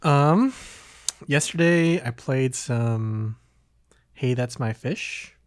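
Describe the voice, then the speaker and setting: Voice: mid-range voice, very slow, clear, resonant tone, no echo, clean studio sound. A podcast host chatting casually, with hesitant, tentative phrasing.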